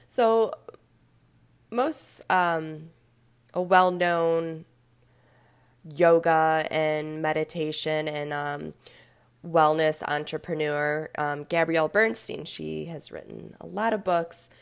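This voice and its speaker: A woman in her thirties hosting a casual solo talk, sounding congested and nasal from a sinus infection, speaking candidly with frequent pauses and ums. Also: lowish voice, creaky, clear, resonant tone. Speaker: podcast host, yoga and fitness instructor.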